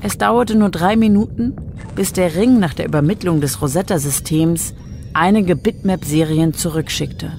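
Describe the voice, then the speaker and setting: A woman's voice: lowish voice, normal speed, vocal fry, darkish tone. A woman narrating a radio drama with controlled, scripted storytelling. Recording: music bed behind the voice.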